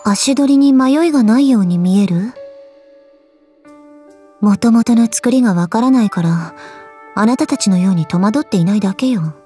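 A woman voicing a cool, aloof, quietly caring gorgon swordswoman in a game, languid, deadpan and curt, with a soft, husky voice. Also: mid-range voice, normal speed, long pauses, soft background music, lightly acted. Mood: dry, resigned. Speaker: voice actress. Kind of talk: scripted Japanese mobile game character lines.

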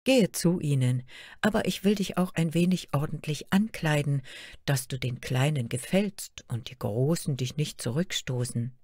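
A woman's voice: sits low, normal speed, darkish tone, no room sound, clean studio sound. A woman voicing a regal, motherly queen of fantasy, stately and soothing. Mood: tender.

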